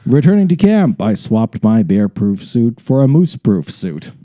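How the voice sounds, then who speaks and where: A man's voice: mid-range voice, big pitch swings, moderate pace, mellow, no room sound. A man co-hosting a podcast, reading a story aloud and breaking into casual, irreverent and profane asides.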